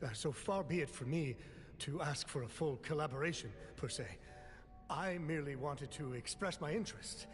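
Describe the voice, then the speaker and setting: A man's voice: fairly high pitch, lightly acted. Man as a formal investor in reverb. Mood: deferential. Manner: formal, measured courtesy. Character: polite, businesslike male investor. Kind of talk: game voice acting. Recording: long reverb under loud music.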